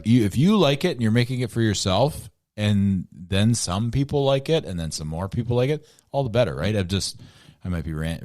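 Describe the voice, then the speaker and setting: Deep-voiced man in his forties, loose and rambling as he co-hosts a band interview. he curses casually and circles back on his own thoughts. his voice is low, and the room sounds dry and close. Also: darkish tone, no room sound. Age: 40s. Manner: rambling, swears freely. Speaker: podcast co-host.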